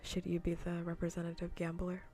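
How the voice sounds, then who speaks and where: Soft, whispery, low-pitched woman teasing softly. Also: completely flat delivery, soft background music. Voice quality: soft, breathy, often whispered. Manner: teasing, playful, slow and repetitive. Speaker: livestream host. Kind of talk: soft-spoken ASMR gaming livestream chat. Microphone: close ASMR microphone.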